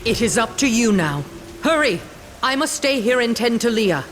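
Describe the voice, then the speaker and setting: An actress playing a cunning middle-aged witch and mother in a video game, speaking in a measured, authoritative way. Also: fairly high pitch, very animated, noisy background. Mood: commanding. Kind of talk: video game cutscene acting. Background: game music.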